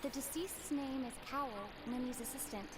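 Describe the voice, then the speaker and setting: A woman playing an earnest young traveler in a video game, reasoning deliberately as a defender. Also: high-pitched, background noise, lightly acted. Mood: confident deduction. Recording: loud game music behind.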